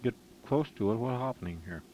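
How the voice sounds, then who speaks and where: A man making a halting, tentative, off-the-cuff demonstration into a tape recorder on a dull amateur recording. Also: medium pitch, animated, dark tone, a little hiss or hum. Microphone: microphone at varying distance.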